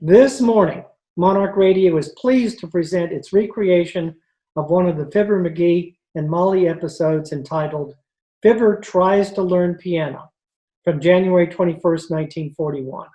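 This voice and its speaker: A woman's voice: lowish voice, moderate pace, warm tone, low-quality recording. A woman announcer reading scripted narration for an old-time radio show. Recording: video call recording.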